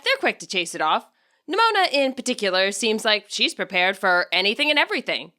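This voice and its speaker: A woman reading a scripted story as its narrator, with a wry, expressive delivery. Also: on the high side. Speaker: author narrating own fan fiction audiobook.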